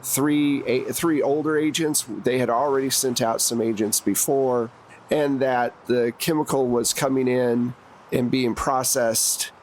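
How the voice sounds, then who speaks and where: A man's voice: mid-range voice, steady tone, measured pace, a little mellow, slight background noise. A man narrating a tabletop roleplaying game as game master, in a conversational, plain-spoken way. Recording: music behind the voice.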